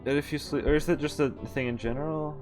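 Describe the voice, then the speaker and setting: A man's voice: fairly high pitch, steady tone, fast talker, music in the background. A man streaming a visual novel, reading the text aloud and breaking off into casual asides. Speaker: game streamer.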